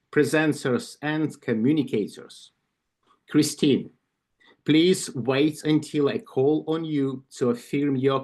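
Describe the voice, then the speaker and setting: A man with a Ukrainian accent reads a club ceremony script over a video call. He goes at an unhurried pace, stopping for asides and small corrections along the way. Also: a little high, a little mellow. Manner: slow, careful reading with asides and self-corrections. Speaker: club vice president of membership. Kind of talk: reading an induction ceremony script at an online club meeting.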